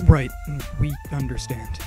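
A woman's voice: extremely low pitch, a little slow. A woman playing an earnest, self-important teenage schoolboy in an anime fan dub, with an indignant, pompous delivery. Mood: flustered. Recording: background music under dialogue.

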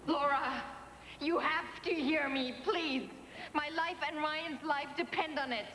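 Ghostly young wife, high and pleading, wrapped in long reverb, TV soap drama. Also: female voice, slow, pushed and bright, a little hiss or hum, heavily acted. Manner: urgent, pleading.

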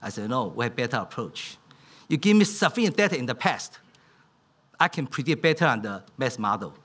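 Middle-aged man, lively keynote delivery. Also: medium pitch, a little fast, slightly rough. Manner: non-native English, simplified grammar. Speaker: keynote speaker. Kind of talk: conference keynote speech. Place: large conference hall stage at a podium. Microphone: podium microphone.

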